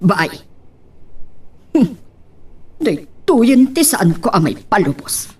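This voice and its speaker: Woman acting a sharp-tongued, animated Ilocano mother. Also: medium pitch, slow, slightly rough, recorded from a distance, exaggerated voice. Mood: suspicious, scheming. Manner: scolding, emphatic. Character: disapproving, nagging middle-aged mother, sharp-tongued. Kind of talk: amateur audio drama acting.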